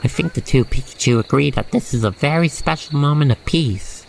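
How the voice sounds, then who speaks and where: An expressive narrator guiding the listener through an ASMR roleplay story in a gushing, sentimental manner. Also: mid-range voice, brisk, gravelly, a little hiss or hum.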